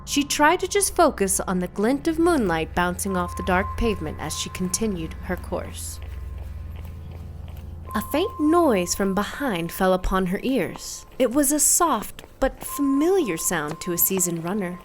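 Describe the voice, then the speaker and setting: A woman's voice: mid-range voice, sing-song, a little slow, faint music behind. A woman reading a scripted suspense story as its narrator.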